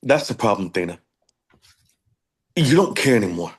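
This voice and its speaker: A man's voice: medium pitch, very animated, moderate pace, breathy edge. A man reading the part of an exhausted, wounded husband confronting his wife at a drama table read. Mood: hurt.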